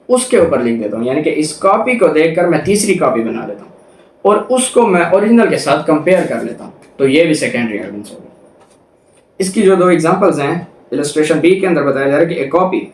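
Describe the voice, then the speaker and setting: A man with a Pakistani accent giving an explanatory lecture, reading out formal text and restating it step by step with examples. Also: on the high side. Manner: didactic, repeats and rephrases points with examples. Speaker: law lecturer. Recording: echoey room, distant microphone.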